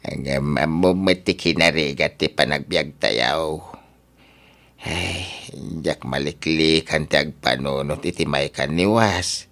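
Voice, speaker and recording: A man's voice: rumbling low, slow, fairly bright tone, full character voice. A man acting as a wheedling, money-minded older father in an Ilocano radio drama, with an Ilocano accent. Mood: apologetic, weary.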